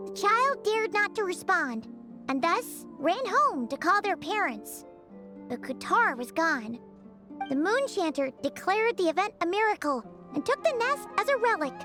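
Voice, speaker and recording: A woman voicing a small, childlike fairy companion in an anime game, expressive, squeaky and blunt. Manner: exclamatory, expressive.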